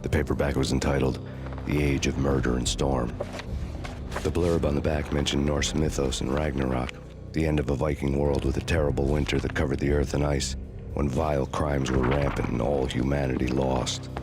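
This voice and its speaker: An actor playing a world-weary, bitter fugitive cop in a video game, narrating in a deadpan, sardonic noir style. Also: male voice, a bass voice, fairly quick, music in the background. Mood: brooding, deadpan. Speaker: narrator and lead character.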